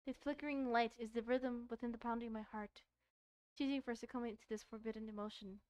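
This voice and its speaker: A woman streamer reading a poem from a game aloud in a slow, dramatic style. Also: fairly high pitch, fairly even intonation, a little mellow.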